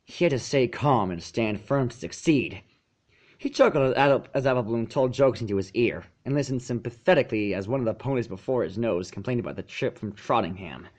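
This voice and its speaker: Animated male story narrator, lively pitch. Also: fairly quick. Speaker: fan fiction narrator.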